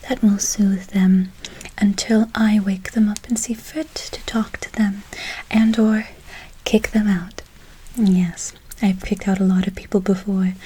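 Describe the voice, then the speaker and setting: Casting: woman to play gentle, mysterious witch for whispered ASMR role play; breathy, even delivery, quaint wording, soothing repetition. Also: mid-range voice, rough recording. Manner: slow, repeating words soothingly, quaint formal phrasing. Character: kindly, mysterious female forest witch casting good-luck spells.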